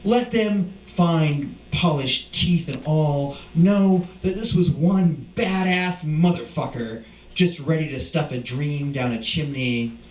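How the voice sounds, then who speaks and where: A man giving a live poetry reading, speaking deliberately in short emphatic phrases. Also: fairly high pitch. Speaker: poet reading at a live event. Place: indoor live reading venue. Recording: distant mic, echoey room, faint noise.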